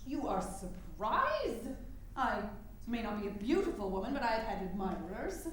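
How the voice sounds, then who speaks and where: A woman's voice: medium pitch, sing-song, moderate pace, lightly acted. A woman playing a proud, evasive middle-aged suffragist in a staged reading, with a prim, clipped, defensive manner. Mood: proud, indignant. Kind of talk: staged reading, theatrical period dialogue. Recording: very echoey room, faint background noise.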